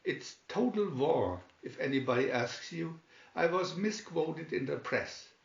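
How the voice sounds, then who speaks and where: A scheming storybook tycoon, acted out by a man reading aloud, blustering and commanding. The delivery is unhurried, and the sound is dull and roomy. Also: a little high, animated, gravelly, pitched above the performer's own voice. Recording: dull, echoey room recording.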